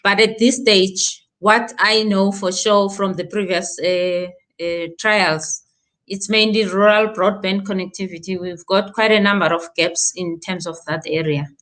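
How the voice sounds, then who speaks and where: A woman from Africa, a regulator answering questions on a panel. She talks in a measured, hesitant way with many pauses and fillers. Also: medium pitch, fairly even intonation, fairly bright tone. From South Africa.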